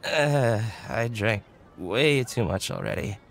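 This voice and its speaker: A man's voice: mid-range voice, expressive, slow pace, a little hiss or hum. An actor playing a tipsy, friendly young man who rambles through game dialogue with slurred, hesitant delivery. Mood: tipsy, flustered. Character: tipsy friendly male tavern regular, rambling and smitten. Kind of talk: video game character dialogue. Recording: background music under the dialogue.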